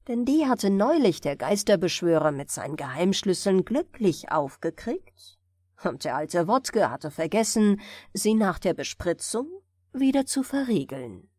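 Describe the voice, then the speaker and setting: Expressive woman reading a fairy tale. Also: on the low side, no room sound, studio quality. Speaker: audiobook storyteller. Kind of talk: scripted fairy tale narration for bedtime.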